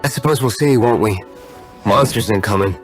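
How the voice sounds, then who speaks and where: A man's voice: lowish voice, moderate pace, soft background music, natural voice. A man voicing an aloof, brooding nobleman fighter in a video game, curt and dismissive. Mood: dry.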